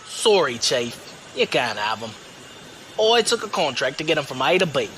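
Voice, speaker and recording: Man playing a cocky rogue, lively pitch. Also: normal speed, a little hiss or hum, poor audio. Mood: cocky, taunting. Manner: breezy, teasing, folksy slang. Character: cocky roguish male driver-mercenary, wily and unflappable. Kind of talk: audio drama acting. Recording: music behind the voice.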